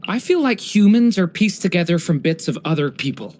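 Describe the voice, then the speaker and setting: Game role: young dragon in boy's form played by an adult actor, mid-range pitch, gentle, polite, curious and a little hesitant. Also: big pitch swings, fairly quick, close to an everyday voice. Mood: thoughtful, hopeful. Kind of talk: scripted game character voice lines.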